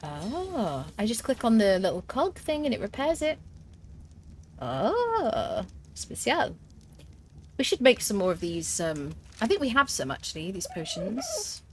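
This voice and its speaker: A woman's voice: a little high, wildly swooping pitch, unhurried, slight background noise. A woman streaming a survival game, chatting as she plays, thinking aloud with fillers and answering her viewers' comments. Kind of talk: live gameplay commentary.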